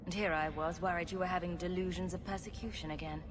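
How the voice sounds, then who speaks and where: A woman's voice: fairly low pitch, steady tone, fast talker, background noise, natural voice. Woman playing a wry, sarcastic game heroine. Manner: dry, joking. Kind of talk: fantasy video game acting. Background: music.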